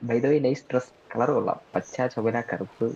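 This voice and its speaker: A man's voice: mid-range voice, a little slow, vocal fry, darkish tone, husky, muffled recording. A man in casual in-game chat, speaking in a dry, understated way.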